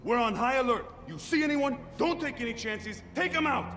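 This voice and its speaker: An actor playing a bossy, irritable mobster in a video game, with an American accent and a tough, barking delivery. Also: male voice, very high-pitched, lively intonation, normal speed, fairly bright tone, slight background noise. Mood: commanding.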